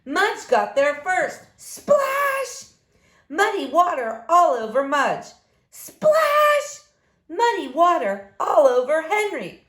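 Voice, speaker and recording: Female storybook reader for young kids: very lively pitch swings, slow deliberate phrasing, roomy sound. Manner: expressive, emphatic, deliberate phrasing. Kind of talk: animated read-aloud story narration for young children. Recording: roomy echo, faint background noise.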